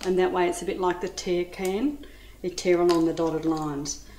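A woman's voice: fairly low pitch, fairly even intonation, moderate pace, dark tone. A middle-aged woman with an Australian accent demonstrating a dessert recipe in a home kitchen, chatty and enthusiastic, addressing viewers as ladies. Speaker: cooking demonstrator. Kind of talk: cooking demonstration. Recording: echoey kitchen with light noise.

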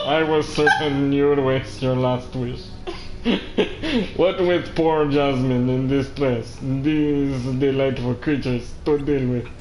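A man voicing a scheming, gloating sorcerer villain in a game, in a pompous, drawn-out, theatrical manner. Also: mid-range voice, close to an everyday voice. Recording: roomy echo, faint background noise.

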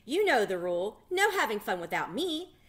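A woman's voice: a little high, sing-song, normal speed. A woman voicing a fun-loving, teasing aunt of twenty-two in a storybook.

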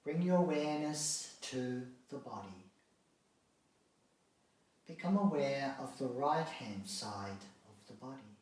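A man leads a guided meditation in a calm, soothing way, at a middling pitch. He gives short, unhurried instructions and leaves long pauses between them. His voice comes from some distance off the mic, in a very echoey room. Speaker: yoga relaxation instructor.

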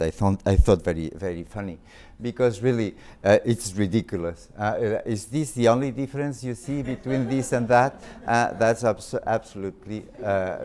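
A middle-aged man with a French accent delivers an academic lecture in a somewhat low, clear and resonant voice. He speaks haltingly, with frequent fillers and restarted words. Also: darkish tone. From Spain. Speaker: visiting art history professor. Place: lecture hall.

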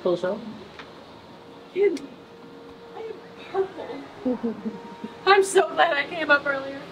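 A woman's voice: high-pitched, sing-song, slow pace, dull audio, crowd noise. A young woman on a jet ski, nervous and protesting in casual chat, recorded outdoors at sea. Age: young adult. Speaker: girlfriend on vlog.

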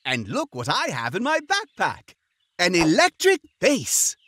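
A man's voice: high voice, wildly swooping pitch, a little slow, fairly bright tone, no echo, soft background music, full character voice. A man voicing a friendly frog who presents a children's sing-along, instructing as he goes.

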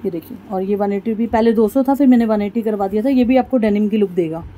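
Woman vlogger, soft mellow tone, chatty, shows fabrics to viewers in a market shop. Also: medium pitch, quick pace. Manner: chatty, addresses viewers as guys. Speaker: shopping vlogger. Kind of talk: casual shopping vlog.

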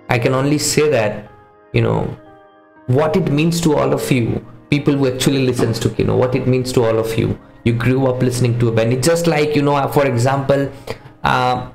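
A man's voice: medium pitch, gravelly, a little mellow, roomy sound. A man in his thirties hosting a music reaction video, chatting casually and earnestly to viewers as a non-native English speaker. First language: not English or Hindi, another mother tongue. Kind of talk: casual chat to camera. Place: small home studio room with posters. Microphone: studio condenser microphone on boom arm.

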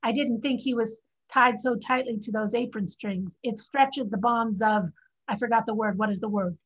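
Husky, high, cheeky young sister, played by a woman. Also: moderate pace. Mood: teasing. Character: cheeky, blunt young Chinese sister working as scribe. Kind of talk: staged play reading over video call. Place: home room on video call. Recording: muffled video call audio.

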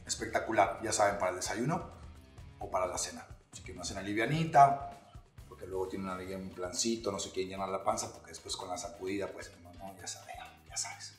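A man's voice: sits low, fairly quick, echoey room, faint music behind. Upbeat man hosting a cooking segment. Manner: upbeat, playful, addressing viewers directly. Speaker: actor presenting a recipe.

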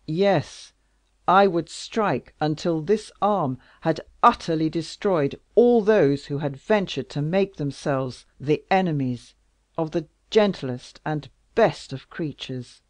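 In a storybook reading, a proud, lovesick king rages and pleads in a loud, declamatory voice, as the reader puts him on. The delivery is slow and deliberate, with no room echo. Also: mid-range voice, expressive. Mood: impassioned fury. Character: passionate powerful male king, lovesick and wrathful. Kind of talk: audiobook character reading.

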